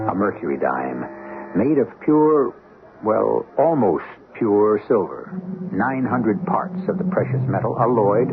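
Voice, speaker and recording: Mystery host: low, gravelly, wry storyteller with theatrical phrasing, over music on a narrow vintage radio recording. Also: male voice, full character voice. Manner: deliberate, literary, wry. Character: urbane, wry, philosophical male storyteller. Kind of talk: theatrical scripted narration, 1970s radio.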